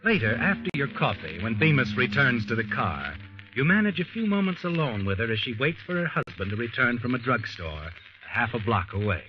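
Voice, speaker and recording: A man acting an all-knowing narrator in a 1940s radio mystery, taunting and accusing. His lively, swinging delivery sits over loud music on a muffled recording. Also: medium pitch, fairly quick, lightly acted. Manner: insinuating, accusing. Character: knowing, taunting narrator addressing the doomed schemer.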